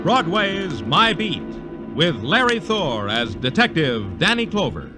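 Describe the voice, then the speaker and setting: A man's voice: on the high side, wildly swooping pitch, slow pace, loud music behind the voice, heavily acted. A man announcing a program in a grand 1950s radio style. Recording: old radio broadcast recording.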